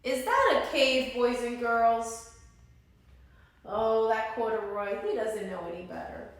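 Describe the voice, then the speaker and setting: Echoey high-voiced woman reading to children. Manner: expressive, pauses to ask children questions. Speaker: early childhood teacher. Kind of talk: read-aloud storytelling to young children with questions. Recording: very echoey room, distant mic, faint noise.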